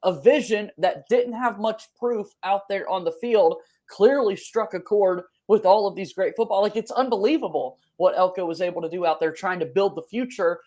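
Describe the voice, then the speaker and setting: A man's voice: sits high, normal speed, hardly any pauses, warm tone. Enthusiastic male sports podcast host.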